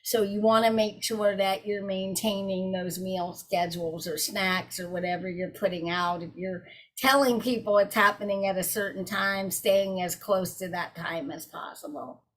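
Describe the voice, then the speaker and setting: A woman's voice: mid-range voice, steady tone, normal speed, rough recording. Brief: woman, webinar presenter, direct conversational delivery over a video call. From South Carolina. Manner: warm, direct, addresses audience as y'all.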